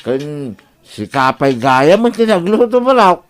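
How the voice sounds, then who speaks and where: A man's voice: a little high, very animated, slow. A man playing a jolly, teasing old father in an Ilocano audio drama. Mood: jovial.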